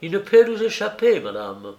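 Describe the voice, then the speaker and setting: A man's voice: sits high, sing-song, normal speed, vocal fry, a little mellow, roomy sound, highs cut off, pitched above the performer's own voice, exaggerated voice. A man voicing a commanding, cool-headed detective in an audiobook, with a clipped, formal manner. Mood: cold.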